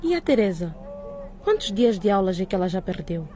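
A woman's voice: medium pitch, big pitch swings, brisk, warm tone, natural voice. A woman playing a firm, inquisitive middle-aged school director in a radio drama, authoritative and probing. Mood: questioning.

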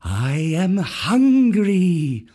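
A man's voice: high-pitched, big pitch swings, extremely slow, mellow, voice pushed up, full character voice. Man doing a curt, drawling python. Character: huge, terse male rock python.

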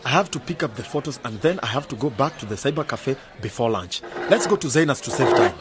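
An actor playing a skeptical, sober-minded young graduate man in a radio drama, arguing and questioning, with a husky voice. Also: medium pitch, animated, fast talker, background noise, close to an everyday voice. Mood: skeptical, firm. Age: young adult. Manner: questioning, argumentative.

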